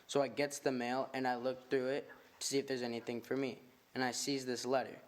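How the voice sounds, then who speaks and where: A man's voice: medium pitch, level tone, brisk, natural voice. A man playing a greedy, tough-talking chauffeur and bodyguard in an old-time radio drama, speaking in slangy, drawling, ungrammatical street talk. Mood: wheeler-dealing.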